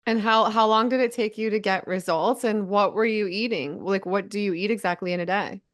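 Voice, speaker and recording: A woman in her forties hosting a casual interview, enthusiastic and affirming, often ending remarks with a quick "right?". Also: mid-range voice. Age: 40s. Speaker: host.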